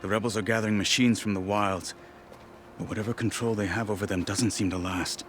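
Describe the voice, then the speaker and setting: Man acting a very deep, grim warrior marshal. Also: steady tone, fairly bright tone, faint music behind, lightly acted. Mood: grave, informative. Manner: terse, stern, weighty deliberate delivery. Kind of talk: dramatic video game cutscene acting.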